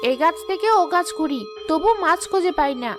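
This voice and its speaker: Sly female tree ghost, played by a woman, extremely high voice, grumbling cartoon schemer, music behind. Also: fairly quick. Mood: greedy scheming. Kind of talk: cartoon character acting.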